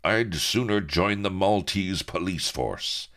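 A man's voice: low-pitched, very animated, measured pace, gravelly, a bit bright, voice pushed up, heavily acted. A man voicing a pompous patriotic naval hero who exclaims in a plummy, schoolboyish, indignant way. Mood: haughty. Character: pompous, patriotic young male naval hero, easily outraged.

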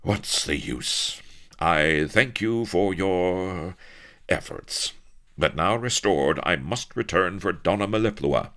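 A man's voice: deep voice, animated, takes it slowly, a bit bright, pitched above the performer's own voice, heavily acted. A man voicing a stiff, prim sea captain who speaks in pompous, archaic phrases. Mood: icy.

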